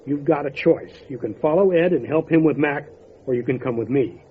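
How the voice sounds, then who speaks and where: Casting: man as resolute county sheriff for radio play, fairly high pitch, matter-of-fact, muffled lo-fi sound. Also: a little fast, lightly acted. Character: steady, determined male county sheriff in mountain country. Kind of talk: old time radio drama acting. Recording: dull lo-fi audio with light noise.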